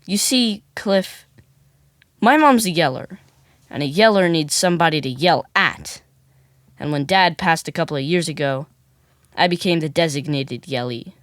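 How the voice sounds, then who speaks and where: A man's voice: fairly high pitch, lively intonation, moderate pace, fairly bright tone, dry recording, studio quality. An actor playing a stubborn, literal-minded boy in an audio comedy drama, snarky and questioning, with an American accent. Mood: wry, resigned.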